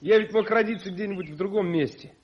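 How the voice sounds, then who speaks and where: A man's voice: high voice, fast talker, slightly rough. An actor playing a playful, smitten young man in a radio play, teasing and witty with some hesitation. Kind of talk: 1980s Soviet radio play acting. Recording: muffled 1980s radio drama recording.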